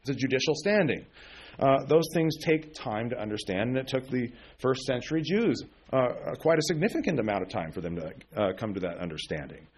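Male pastor, mid-pitched voice, casual rambling sermon delivery with frequent restarts. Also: expressive, brisk, husky. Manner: rambling, many fillers and restarts, chuckles at himself. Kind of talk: conversational sermon. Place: church pulpit.

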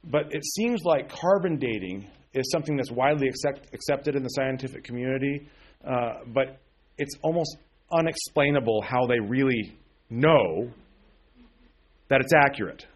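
Male pastor, mid-pitched voice, casual rambling sermon delivery with frequent restarts. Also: expressive, normal speed. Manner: rambling, many fillers and restarts, chuckles at himself. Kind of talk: conversational sermon. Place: church pulpit.

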